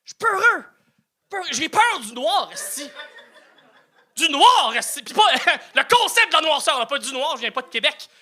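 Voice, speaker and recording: A man's voice: extremely high pitch, very animated, normal speed, a crowd in the background. A man, doing a self-mocking stand-up set with stammered restarts and swearing. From New Brunswick, Canada. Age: 30s. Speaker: stand-up comedian.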